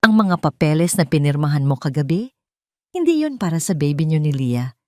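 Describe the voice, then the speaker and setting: Betrayed wife played by a female narrator, low-pitched, composed, cutting, cold resolve, audio drama, dry recording. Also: big pitch swings, a little fast, no room sound. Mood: calm defiance. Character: calm, steely betrayed wife taking cold revenge.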